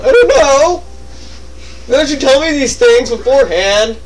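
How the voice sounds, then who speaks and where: A man's voice: very high-pitched, lively intonation, measured pace, recorded from a distance, slight background noise, low-quality recording. A man co-commentating on a let's play with casual, sarcastic, joking banter. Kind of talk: casual banter over gameplay. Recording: off-mic, game music behind. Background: video game music.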